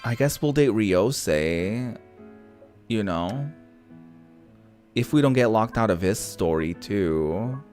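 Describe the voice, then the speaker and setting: A man doing casual let's-play commentary, thinking out loud with some mild swearing over background music. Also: lowish voice, expressive, takes it slowly, pauses often. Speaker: gaming host. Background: background game music.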